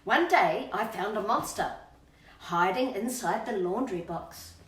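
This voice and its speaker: Storytime presenter: Australian woman, middle-aged, very lively pitch, playful and coaxing, recorded at a distance in a roomy space. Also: measured pace, slight background noise. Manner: playful, coaxing, sing-song questions. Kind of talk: chatty children's storytime, talking to kids and her dog. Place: indoors at home, on a couch. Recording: roomy echo, distant microphone.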